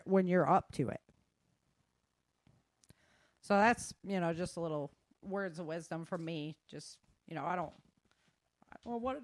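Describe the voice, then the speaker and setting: A woman's voice: fairly low pitch, no room sound. Frank woman giving casual advice, close dry sound. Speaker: self-defense instructor and guest.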